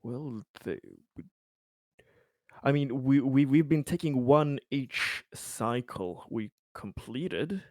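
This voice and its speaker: A man taking part in a tabletop game as a player, talking in a halting way with many restarts. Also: mid-range voice, lively intonation, darkish tone, no echo, clean studio sound. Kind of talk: casual game chat.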